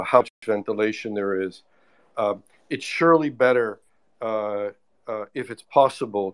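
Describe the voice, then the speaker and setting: A man on an online audio panel, answering listeners in a measured way with many fillers and restarts. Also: fairly low pitch. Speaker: expert panelist. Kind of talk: live audio panel discussion. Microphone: remote online audio call.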